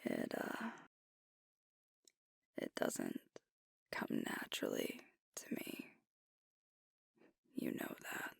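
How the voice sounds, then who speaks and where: Casting: woman as sweet, burdened alpha werewolf girlfriend for ASMR roleplay. Very slow, heavily creaky, breathy half-whisper, tender and earnest. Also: a bass voice, dry recording, clean studio sound, full character voice. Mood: vulnerable, grateful. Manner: hesitant, tender, earnest, with long pauses.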